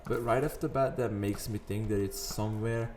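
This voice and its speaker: A man with a somewhat low, raspy morning voice talks casually over a game at his desk. He rambles and drifts into sidetracks as he plays. Soft background music runs quietly under his words. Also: fairly even intonation, normal speed. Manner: chatty, rambling, wanders into sidetracks. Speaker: gaming video host. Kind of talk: casual gameplay commentary. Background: faint background music.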